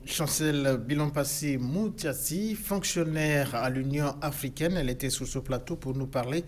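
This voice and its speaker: A man anchoring a TV news program, questioning a guest in a formal, measured way with occasional stammering restarts. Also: fairly high pitch. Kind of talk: TV news studio interview. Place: TV studio.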